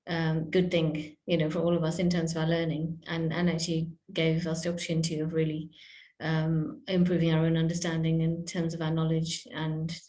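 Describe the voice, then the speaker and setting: A woman's voice: fairly low pitch, darkish tone. A woman speaking as a podcast guest, reflective and hesitant, with many fillers and restarts. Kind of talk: reflective interview talk. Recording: echoey room.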